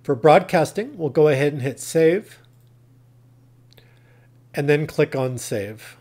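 Male tutorial narrator, pitch a little above average, plain methodical step-by-step instruction. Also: expressive, slow, darkish tone. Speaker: tutorial host.

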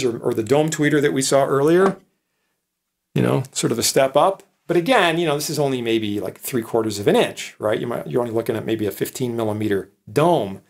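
A man in his sixties, a professor explaining things informally, in a conversational way with frequent checking tag questions. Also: mid-range voice. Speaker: professor, lecturer. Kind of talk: informal explanatory electronics lecture.

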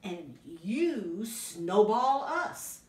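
A woman's voice: mid-range voice, big pitch swings, extremely slow, darkish tone. A woman voicing little storybook bear cubs in an eager, childlike, sing-song manner. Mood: playful. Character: playful little bear cubs pretending to be snow bears. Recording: roomy, distant camera sound.

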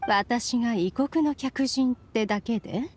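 A woman's voice: on the high side, unhurried, a little mellow, a little hiss or hum, lightly acted. A woman playing a composed, sly young female visitor in an anime game, teasing and assured. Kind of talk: Japanese anime game acting.

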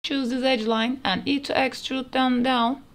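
A woman's voice: high voice, fairly even intonation, a little slow. A woman narrating a step-by-step 3D modeling tutorial in plain, instructional phrasing, recorded as a voice-over. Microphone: voice-over over screen recording.

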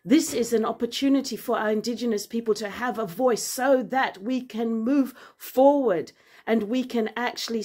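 A woman in her forties who grew up in Zambia, talking earnestly to a phone camera in a bright room with big windows, measured and then forceful. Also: on the high side. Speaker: vlogger and author. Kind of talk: impassioned personal address to camera. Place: indoor room with large windows and plants. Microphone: phone camera at arm's length.

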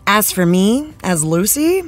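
Female celebrity guest: candid, playful, expressive swings in pitch, relaxed tempo. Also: takes it slowly. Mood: joking, exasperated. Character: candid, playful young actress as talk show guest. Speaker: interview guest, actress. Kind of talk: conversational celebrity interview.

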